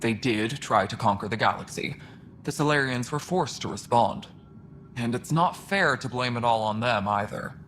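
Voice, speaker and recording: An actor playing a weary, blunt alien station superintendent in a video game, with a dry, matter-of-fact delivery. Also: male voice, mid-range voice, normal speed, a bit bright, a little hiss or hum, close to an everyday voice. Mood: somber. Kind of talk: video game dialogue acting.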